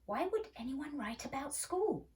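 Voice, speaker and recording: A woman's voice: high-pitched, very animated, a little slow, a little mellow, distant mic, voice pushed up. A woman voicing a stubborn, scornful schoolgirl in a storybook, with an argumentative, doubtful manner. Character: stubborn, scornful schoolgirl who dislikes lessons.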